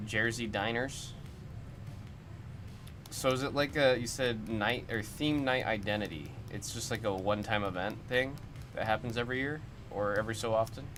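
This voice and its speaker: A man's voice: fairly low pitch, moderate pace, background noise. A young man hosting a livestream, chatting loosely and puzzling aloud over viewer comments. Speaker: livestream host of card breaks. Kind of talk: casual chat reacting to viewer comments. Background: music.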